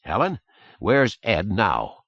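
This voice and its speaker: A man voicing an elderly man speaking softly and calmly. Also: fairly low pitch, takes it slowly, no room sound, muffled recording, full character voice. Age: 70s. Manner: soft, calm. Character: elderly man, quietly enraged but gentle.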